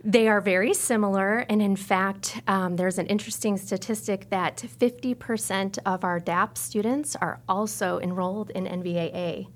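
A woman's voice: medium pitch, steady tone, moderate pace, few pauses. An American woman speaking as an interview guest, an articulate teacher explaining and promoting a school program. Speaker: arts teacher, podcast guest. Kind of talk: informational interview answers.

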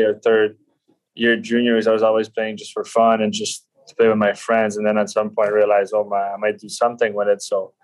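A man's voice: fairly low pitch, low-quality recording. A man in his twenties from Quebec with a French Canadian accent, a native French speaker, chatting casually as an interview guest. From Quebec City, Canada. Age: 20s. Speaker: podcast guest, professional hockey player.

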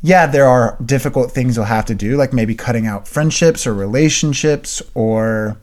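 A young man co-hosting a podcast, talking in an earnest, casual way and clearing his throat now and then. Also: medium pitch, moderate pace, darkish tone. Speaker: podcast co-host and writer. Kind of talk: casual chat.

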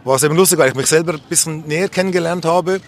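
Male street interviewee, fairly high voice, Swiss accent, candid colloquial rambling, faint background noise. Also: very fast. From Switzerland. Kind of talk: casual personal reflection. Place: outdoors on a street.

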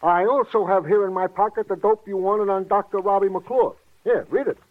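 An actor playing an eager, chatty, fussy police sidekick in a theatrical 1940s radio drama style. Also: male voice, high voice, normal speed, phone-quality sound, lightly acted. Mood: eager reporting. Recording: band-limited vintage radio recording.

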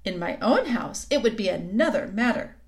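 A woman's voice: medium pitch, sing-song, moderate pace. A woman voicing a scheming queen who coaxes a boy with sweet, sly promises. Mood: coaxing. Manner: coaxing, silky, suddenly sharp. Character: sweetly scheming cold queen, tall and commanding.

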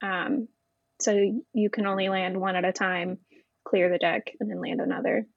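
A woman with a mid-pitched voice talks informally as a guest in a conversation, explaining as she goes. The recording is dry and close, with a slightly dull, lo-fi tone. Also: steady tone, moderate pace, vocal fry, dry recording. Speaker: podcast guest, Navy veteran. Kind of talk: casual interview chat.

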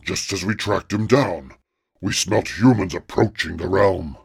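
Man voicing a troll king, regal, slow and grave, husky, dry sound. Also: deep voice, no room sound, exaggerated voice. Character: deep-voiced regal troll king, commanding.

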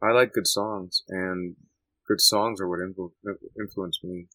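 A Canadian man answering interview questions in a thoughtful, halting way with many restarts. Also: deep voice, fairly even intonation, bright, forceful tone, no room sound, soft background music, poor audio. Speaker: musician guest.

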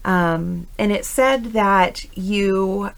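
A woman's voice: medium pitch, very slow, creaky. A woman hosting a conversational interview in an informal, encouraging manner. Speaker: interview host.

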